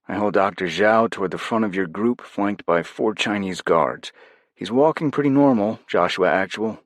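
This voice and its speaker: A man voicing a brisk undercover agent on radio. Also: fairly low pitch, dry recording, clean studio sound, pitched above the performer's own voice, natural voice. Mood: brisk report.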